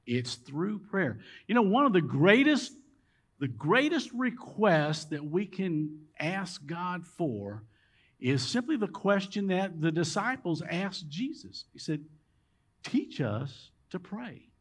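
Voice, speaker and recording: Older pastor preaching slow in a big church room, voice climbs high then drops way down. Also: male voice, big pitch swings, a bit bright. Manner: emphatic preaching, rising and dropping dramatically. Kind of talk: sermon. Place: large church sanctuary with rows of empty chairs.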